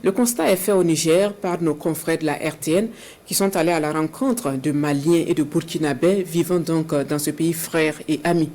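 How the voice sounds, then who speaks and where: A woman's voice: deep voice, mellow. Woman anchor reading a news intro. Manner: measured, formal reading.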